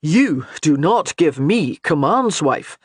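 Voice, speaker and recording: A woman's voice: low-pitched, sing-song, husky, no echo, clean studio sound, full character voice. A woman doing a stern clan laird. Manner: stern, measured, warning. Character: stern grown male clan laird, gruff and patient.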